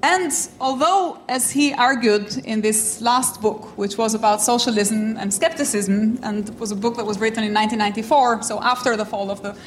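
A woman's voice: a little high, far from the mic, a little hiss or hum. Reflective woman lecturing in an echoey room. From Albania. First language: French. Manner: measured and reflective, with light self-deprecating humor. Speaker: invited speaker. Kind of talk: academic lecture. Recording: echoey room with faint ambience.

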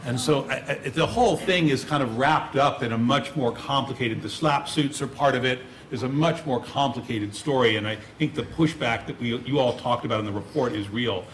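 A man's voice: medium pitch, normal speed, few pauses, a little hiss or hum. A man moderating a panel discussion, politely directing questions to the speakers. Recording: roomy hall audio.

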